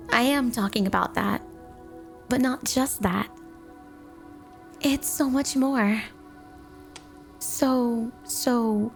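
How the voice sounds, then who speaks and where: Woman voicing a doting snow spirit wife, obsessive, coy and teasing, fairly high voice, ASMR roleplay, soft music behind. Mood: affectionate. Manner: flirty, affectionate, teasing, coy. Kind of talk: ASMR roleplay, intimate monologue to a listener.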